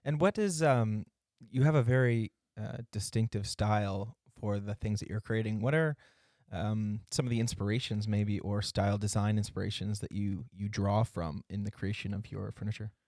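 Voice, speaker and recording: A man's voice: lowish voice, moderate pace, few pauses, a little mellow, dry recording, studio quality. A man hosting a podcast, interviewing a guest in a friendly way and laughing easily. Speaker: podcast host.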